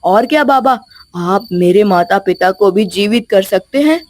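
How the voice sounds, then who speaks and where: A woman's voice: medium pitch, expressive, unhurried, darkish tone. A woman voicing an earnest, brave young village boy in a storybook tale, polite but insistent and pleading.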